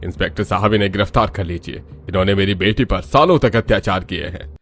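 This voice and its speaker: A man's voice: low voice, fast talker, a bit bright. A man voicing a protective, indignant middle-aged father in a cartoon family melodrama, stern and emphatic. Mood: outraged. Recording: music under the voice. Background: background music.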